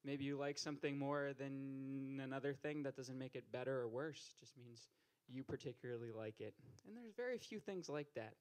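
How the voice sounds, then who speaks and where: A man's voice: medium pitch, moderate pace, few pauses, clean tone. A young man hosting a poetry open mic on a small stage, teasing the crowd with stand-up style banter into a handheld mic. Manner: joking, teasing, rising to loud calls to the stage.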